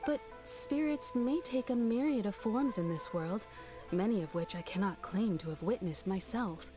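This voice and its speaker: An actress playing a calm, formal shrine maiden in a game, speaking in a measured, courtly way. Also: female voice, mid-range voice, soft background music, lightly acted. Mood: composed. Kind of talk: game dialogue.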